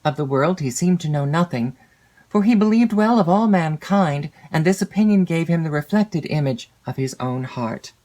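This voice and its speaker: A woman reading classic literary fiction as an audiobook narrator, in a measured, formal way with expressive phrasing. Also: low-pitched. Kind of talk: scripted literary narration of a classic gothic novel.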